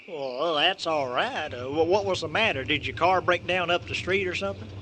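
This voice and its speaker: A folksy trucker with a high voice, stammering and excitable. He is easily spooked, in old radio horror-comedy style, over clear background noise and vehicle rumble. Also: moderate pace. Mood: puzzled. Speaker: actor in radio drama.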